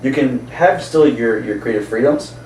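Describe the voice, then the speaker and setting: A man's voice: medium pitch, normal speed, darkish tone. A man co-hosting a casual chat, rambling a little and searching for words as he explains his opinion. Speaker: podcast co-host. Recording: roomy, distant mic, background noise.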